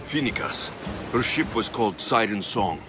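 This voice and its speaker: An actor playing an earnest, protective young mercenary in a dramatic game cutscene, speaking. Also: male voice, lowish voice, expressive, moderate pace, over music, lightly acted.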